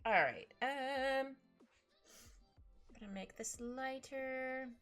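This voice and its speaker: Bubbly, high-voiced young-sounding woman streamer. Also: drawn-out words, fairly bright tone. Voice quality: slightly stuffed-up nose. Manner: bubbly, giggly, rambling with trailing asides. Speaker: art livestream host. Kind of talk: casual livestream chat while drawing. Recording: music behind the voice. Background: background music.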